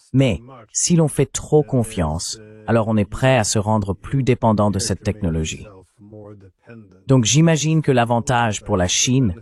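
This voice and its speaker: A man's voice: mid-range voice, darkish tone. A man delivering a French voice-over translation of an interview host, laying out analysis in complete sentences.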